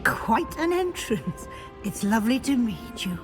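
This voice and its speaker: Brisk, kindly British deputy headmistress. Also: female voice, a little high, big pitch swings, music in the background. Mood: welcoming. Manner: courteous, formal.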